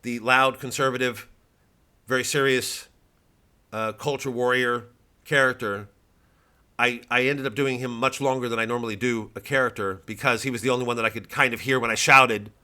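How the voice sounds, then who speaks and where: A middle-aged man, a comedian, talking in a rambling, sardonic monologue that swings into loud, mocking asides. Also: mid-range voice, fairly even intonation, brisk. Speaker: comedian promoting his work.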